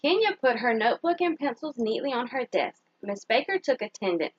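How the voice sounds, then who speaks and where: A woman with a fairly high, very lively voice reads a children's story aloud like a teacher. She stops to ask her young listeners simple questions. The recording is dry and a bit dull. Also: slightly rough, dry recording. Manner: pauses to put simple questions to young listeners and praise their answers. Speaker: teacher reading a picture book aloud to children.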